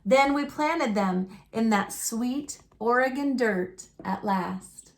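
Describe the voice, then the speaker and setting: A woman reading a children's picture book aloud as a storyteller, in an expressive, folksy and dramatic manner. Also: on the high side. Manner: folksy, dramatic, deliberate delivery.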